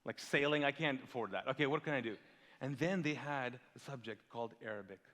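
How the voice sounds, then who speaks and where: A male pastor raised in Kuwait whose first language is Urdu, preaching with lively storytelling and rhetorical questions. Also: mid-range voice, a little fast, in a large hall, background noise. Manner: animated storytelling, big swings in loudness, rhetorical questions. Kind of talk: sermon. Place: large church hall.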